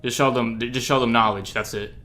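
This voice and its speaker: A man giving casual, slangy reaction commentary, playful and quick to interject. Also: medium pitch, steady tone, bright, forceful tone, a little hiss or hum.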